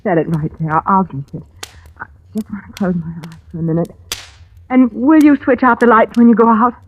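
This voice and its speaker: A woman's voice: medium pitch, fairly quick, narrow, tinny audio, natural voice. An actress playing a plucky, wry woman in a thriller, in 1940s radio acting style with a dry, guarded manner. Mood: weary, shaky. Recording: noisy, crackly old-time radio recording.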